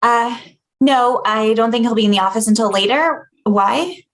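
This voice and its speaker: Woman playing a higher-voiced, concerned office colleague. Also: moderate pace, natural voice. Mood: nervous, polite. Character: concerned, conciliatory forties female office colleague. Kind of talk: screenplay table read.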